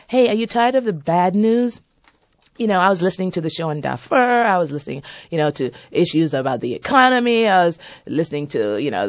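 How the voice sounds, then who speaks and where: A woman hosting a radio talk show in a husky voice, chatty and playful, talking straight to her listeners. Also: medium pitch, darkish tone, no echo, highs cut off. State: husky voice from a seasonal cold. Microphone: studio microphone.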